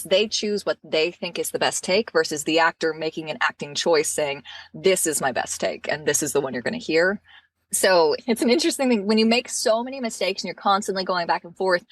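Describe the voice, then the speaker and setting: A woman who works as an audiobook narrator, chatting in an interview with a low, smoky voice and lively, quick asides. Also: no echo. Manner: animated, laughs often, fast asides. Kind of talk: casual interview chat.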